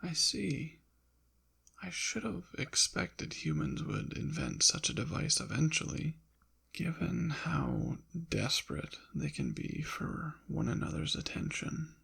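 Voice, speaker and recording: A man playing a suave, possessive ancient demon in an intimate ASMR roleplay, teasing and haughty in formal, old-fashioned wording, with a breathy, often whispered, gravelly voice. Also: on the high side, huge pitch swings, takes it slowly, a bit bright, heavily acted. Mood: baffled, haughty.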